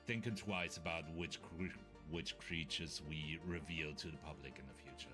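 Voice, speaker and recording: A man with a German accent co-hosting a podcast in casual chat, often pausing to search for words. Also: a bass voice, over music. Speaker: podcast co-host.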